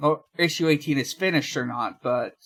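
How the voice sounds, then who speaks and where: A podcast host talking casually in a halting, hesitant way, often pausing and trailing off. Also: medium pitch, a bit bright. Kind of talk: casual podcast discussion and recap.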